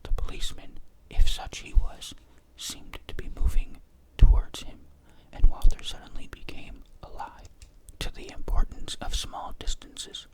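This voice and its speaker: Hushed whispering storyteller. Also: unhurried, few pauses, rough recording. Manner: soft, hushed whisper throughout. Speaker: narrator. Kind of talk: whispered audiobook narration.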